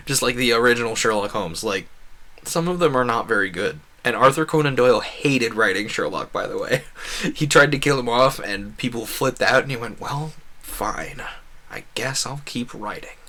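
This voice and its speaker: A man's voice: medium pitch, animated, normal speed, sharp tone. A man hosting a gaming livestream, rambling casually and earnestly, giving opinions and acting out imagined quotes. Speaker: livestream host.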